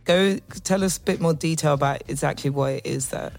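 Hyped-up radio host guy, slightly high voice, jokes around and laughs, music playing faintly under him. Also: a little fast, vocal fry. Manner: enthusiastic, playful, many fillers. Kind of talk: casual radio interview.

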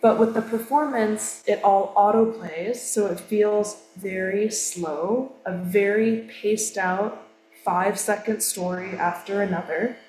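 A woman's voice: medium pitch, steady tone, slow pace, darkish tone, a little hiss or hum. A woman presenting her creative project, explaining it in a thoughtful way. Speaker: artist presenting her work. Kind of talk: artist talk. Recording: echoey room.

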